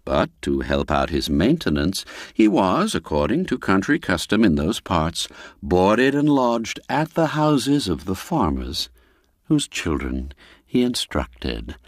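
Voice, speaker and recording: A man narrating a classic literary tale in a measured, expressive storyteller's manner. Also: low voice, dry recording, clean studio sound. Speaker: narrator and audiobook reader.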